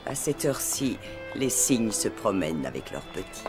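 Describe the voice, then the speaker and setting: A woman playing an elderly, gentle aristocratic grandmother in a cartoon, speaking in a refined, wistful way. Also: extremely low pitch, big pitch swings, normal speed. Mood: wistful, sorrowful. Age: older. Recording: background music under dialogue.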